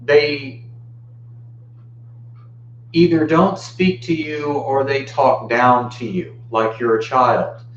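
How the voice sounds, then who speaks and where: Slow-paced American man, roomy muffled sound. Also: medium pitch. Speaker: Buddhist teacher giving a talk. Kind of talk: informal dharma talk. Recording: muffled, roomy, faint background hum.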